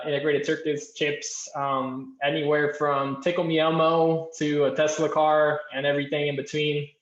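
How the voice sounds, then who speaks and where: A young man from Colombia introduces himself in a relaxed, chatty way with a light joke or two. His voice sits a touch high and stays flat in melody. The video call sounds roomy and a bit muffled. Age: young adult. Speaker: alumnus guest, engineer. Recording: echoey, dull-sounding room.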